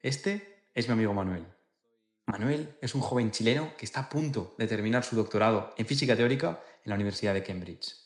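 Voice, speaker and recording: A man giving a scripted introduction to a video as channel host, in a clear presenter's delivery. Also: lowish voice, brisk, roomy sound.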